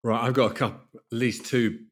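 A man's voice: mid-range voice, little pitch movement, brisk. A man hosting a podcast interview, chatty and playful, asking wordy questions in informal language.

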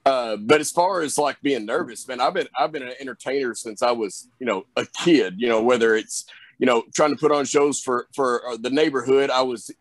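A young man with a Southern drawl talks loosely through an interview, circling back with plenty of "you know"s. His voice sits at a middle pitch, and the sound is dry and close with no room around it. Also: brisk, no room sound. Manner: rambling, lots of fillers, drawling. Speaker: wrestler guest. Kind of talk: casual interview chat.

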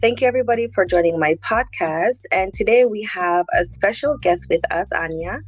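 A woman hosting a podcast, interviewing a guest in a conversational way with hesitant, pausing phrasing as she forms questions. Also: medium pitch, dry recording, dull audio, soft background music. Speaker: podcast host.

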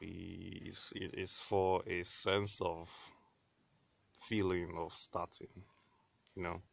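Excitable, swearing young man, low voice. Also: slow, gravelly, no echo. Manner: excitable, swears freely, teasing. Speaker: podcast co-host. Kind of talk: casual chat.

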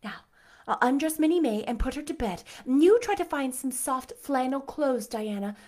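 An eager, imaginative young girl, put on by a woman reading a storybook aloud, talking quickly and earnestly in a high, bright voice. She is chatty and fond of grand words. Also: expressive. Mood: brisk, confident. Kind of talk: audiobook character voice.

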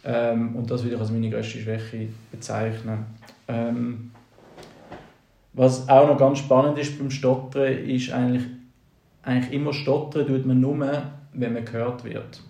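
Swiss male podcast co-host, stutters, gravelly voice, roomy echo. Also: medium pitch, mellow. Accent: Swiss German. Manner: stutters, halting and reflective. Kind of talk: casual podcast chat. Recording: roomy echoey sound.

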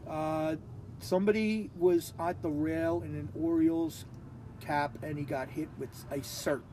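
A man's voice: a little high, steady tone, unhurried, darkish tone, a little hiss or hum. A man, co-hosting a podcast in casual chat, reading notes aloud bluntly and with plenty of swearing. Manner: blunt and profane, mimics voices when retelling stories. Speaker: podcast co-host.

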